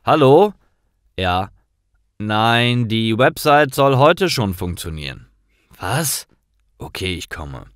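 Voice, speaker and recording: Busy male boss , played by a man reading a story: businesslike, pressured yet courteous, very varied pitch. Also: very animated, takes it slowly, long pauses, smooth, no echo, studio quality. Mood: stressed, surprised. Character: busy male company boss, polite but stressed.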